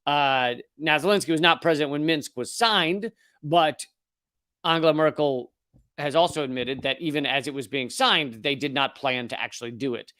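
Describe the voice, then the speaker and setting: A man's voice: a little high, moderate pace, dry recording. Sardonic man in a dry close mic. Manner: sardonic, profane, animated with mocking put-on voices. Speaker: comedian show host. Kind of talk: political commentary monologue.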